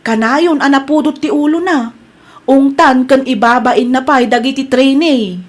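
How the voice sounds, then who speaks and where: Actress for earnest female co-worker with a grievance, Filipino accent, higher-pitched voice, audio drama dialogue. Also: measured pace. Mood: earnest, deferential. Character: female employee, indignant tattling sympathetic co-worker.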